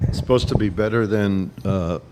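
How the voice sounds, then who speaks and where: A man's voice: fairly low pitch, moderate pace, a crowd in the background. A man making brief, casual remarks in conversation in a reverberant room. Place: indoor cigar lounge. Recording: reverberant room, faint noise.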